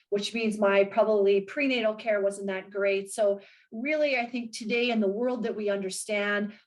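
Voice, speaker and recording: A woman in her late forties from Canada talks as a podcast guest at a middle pitch. She is energetic and articulate, and she reflects on her answers as she goes. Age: 40s. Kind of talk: casual interview chat.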